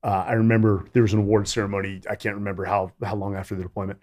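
A man's voice: fairly low pitch, little pitch movement, rapid-fire, a little mellow. A middle-aged man, a military veteran, chatting and telling anecdotes as a podcast guest on a studio mic. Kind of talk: casual chat and anecdotes. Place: small indoor podcast studio. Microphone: studio mic on boom arm.

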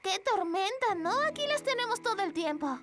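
A woman's voice: very high voice, very animated, moderate pace, noisy background. An actress voicing a kind, take-charge little girl in a children's cartoon, earnest and eager. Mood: friendly.

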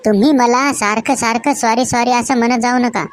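A woman playing a sassy, quick-witted wife in a cartoon comedy skit. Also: a little high, fairly even intonation, very noisy, natural voice. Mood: sassy, teasing.

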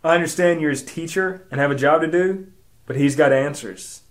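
An actor playing a stern, suspicious detective in a comic radio drama, insistent and pompously formal. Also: male voice, fairly high pitch, normal speed, lightly acted. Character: stern, suspicious male detective grilling small children.

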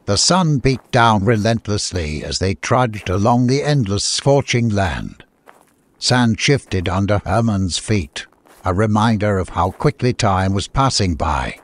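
Low-pitched male storybook narrator. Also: animated, measured pace. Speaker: audiobook narrator.

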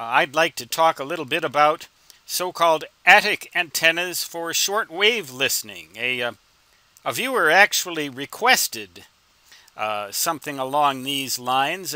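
Bright, crisp-edged man in his sixties, unhurried. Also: on the high side, dry recording. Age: 60s. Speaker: instructor and ham radio hobbyist. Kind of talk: informal explanatory tutorial.

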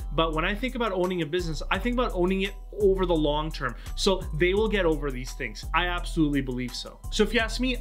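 A man in his thirties giving an energetic, emphatic stock analysis to camera from a small dim room. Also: high-pitched. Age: 30s. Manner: energetic, emphatic, gestures a lot. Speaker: investing YouTuber. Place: small dim room with lamp and wood wall.

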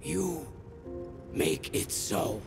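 A man playing a grave, commanding figure in a video game cutscene, with a gravelly, creaking voice. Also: mid-range voice, wildly swooping pitch, extremely slow, full character voice. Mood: solemn command. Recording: loud music behind the voice.

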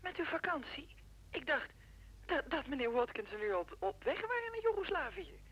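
An actress playing a polite, tentative telephone caller in a radio drama, with a husky voice. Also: female voice, sits high, very animated, normal speed, a bit bright, lo-fi sound. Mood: helpful, surprised.

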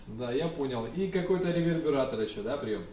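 A man's voice: mid-range voice, animated, fairly quick, a crowd in the background. A young man working as an amateur radio operator, making contacts and spelling out call signs. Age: young adult. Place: small indoor room with radio desk and computers. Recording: echoey room, distant mic, faint noise.

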